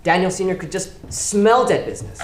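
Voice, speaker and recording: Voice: medium pitch, moderate pace, natural voice. An actor in a stage play playing an indignant, cynical loan shark who rants with sarcastic emphasis. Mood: wry, irritated. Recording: roomy live theater, audible noise.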